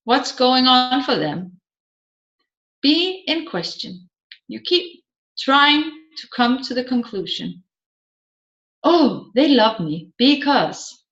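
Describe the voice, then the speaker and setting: A woman narrating an audiobook of a seminar in a deliberate, emphatic, questioning manner. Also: fairly high pitch, fairly bright tone. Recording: slightly dull, roomy echoing sound.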